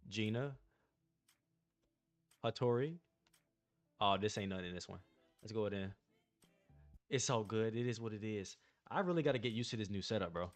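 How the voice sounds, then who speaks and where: Low-voiced laid-back young man streaming. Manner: laid-back slangy chatter to the chat. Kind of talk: casual livestream card-opening commentary.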